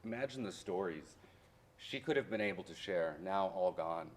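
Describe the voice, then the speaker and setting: An actor playing a history professor, the grown son of deported immigrants, reminiscing in a theatrical monologue that swings from hushed to loud. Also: male voice, sits low, animated, moderate pace. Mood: sorrowful. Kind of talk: dramatic monologue in a staged play reading.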